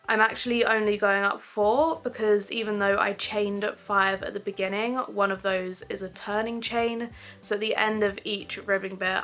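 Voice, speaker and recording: Woman, young adult, bright clear voice, casual tutorial chat, faint music in the background. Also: medium pitch. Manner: chatty and relaxed, with frequent ums. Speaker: crochet tutor.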